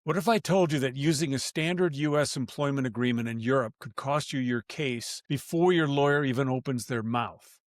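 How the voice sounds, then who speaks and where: A man's voice: medium pitch, fairly quick, hardly any pauses, dry recording, clean studio sound. A man hosting a podcast interview, talking in a loose, rambling way with frequent restarts. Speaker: podcast host.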